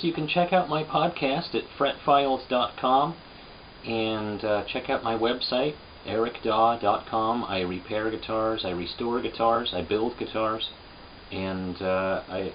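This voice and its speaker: A man casually explaining guitar repair work in a halting, unscripted, plain-spoken way, as a craftsman hosting his own demonstration. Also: lowish voice. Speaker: guitar repairer and restorer, host. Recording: dull lo-fi sound with hum and noise.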